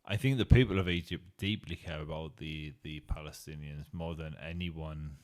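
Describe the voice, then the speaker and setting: A man hosting a casual debate conversation, speaking in a measured, agreeable way and building on his guest's points. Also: rumbling low.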